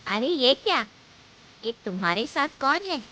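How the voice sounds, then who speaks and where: A woman's voice: sits high, sing-song, unhurried, background noise. A woman voicing a middle-aged Indian mother who scolds and wails over her son in a melodramatic story. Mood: exasperated. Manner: theatrical, scolding, plaintive. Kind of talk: melodramatic Hindi story voice acting. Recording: background music.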